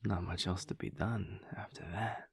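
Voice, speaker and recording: A man playing a teasing, guarded lover in an intimate audio roleplay, speaking in a husky, breathy murmur with hesitant pauses. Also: low-pitched, no echo, heavily acted. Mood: dry, probing.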